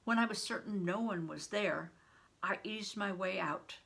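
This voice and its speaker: An older woman reading a novel aloud as a storyteller for children, with deliberate, dramatic phrasing and stretched emphasis. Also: medium pitch, vocal fry, a bit bright, husky. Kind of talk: expressive read-aloud storytelling.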